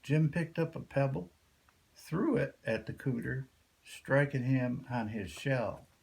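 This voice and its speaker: A man's voice: mid-range voice, slow pace, creaky, a little mellow. An older man reading a folktale aloud as a storyteller.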